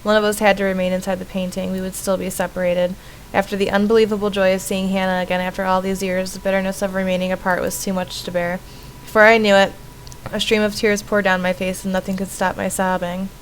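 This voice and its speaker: Female game streamer, narrating and commenting, narrow pitch range, medium pitch, faint background noise. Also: little pitch movement, fast. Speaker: streamer playing a visual novel. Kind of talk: game playthrough, reading aloud and commenting.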